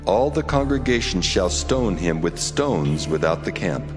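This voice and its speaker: A man's voice: low voice, big pitch swings, unhurried, music in the background. A man playing God as a stern, solemn, commanding voice in a dramatized scripture reading.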